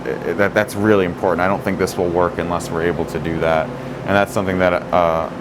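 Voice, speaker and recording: Low-voiced man touring a grocery store. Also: brisk, noisy background. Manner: relaxed, many fillers and restarts. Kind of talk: casual store tour talk.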